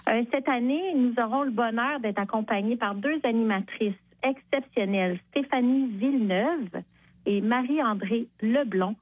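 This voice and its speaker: A woman's voice: on the high side, measured pace, no room sound. A woman, guest on a radio show, cheerfully promoting a charity walk over the phone. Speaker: guest, charity event co-chair. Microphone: phone line. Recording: tinny phone line.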